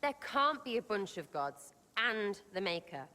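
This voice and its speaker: A woman's voice: medium pitch, big pitch swings, measured pace, bright, forceful tone, big-room sound. A woman playing a brash, scornful young elf in a video game, with a British accent and blunt, mocking, slangy delivery. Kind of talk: video game character dialogue.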